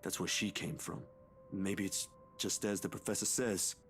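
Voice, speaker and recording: A man's voice: low voice, steady tone, normal speed, soft background music, close to an everyday voice. An actor playing a thoughtful, wry young male student in a horror visual novel. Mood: pensive.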